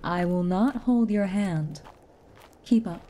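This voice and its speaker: A woman voicing a haughty, reclusive tsundere witch in a soft ASMR roleplay, aloof and commanding, at times dropping near a whisper. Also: medium pitch, takes it slowly, natural voice. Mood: curt. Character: haughty, reclusive tsundere witch, stern but secretly kind.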